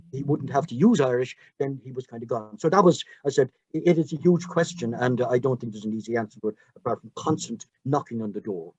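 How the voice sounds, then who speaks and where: An older man on a dull, dry video-call line, his voice husky and mellow. He talks quickly and earnestly, stumbling and restarting as he works through his point. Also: mid-range voice, dry recording. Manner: earnest, halting, with repeated restarts. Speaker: panel speaker. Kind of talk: panel answer.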